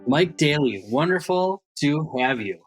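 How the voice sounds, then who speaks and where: A man's voice: on the high side, animated, measured pace, husky, soft background music. A man hosting an interview podcast, speaking as a presenter introducing and talking with a guest. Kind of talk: scripted introduction, then conversational interview.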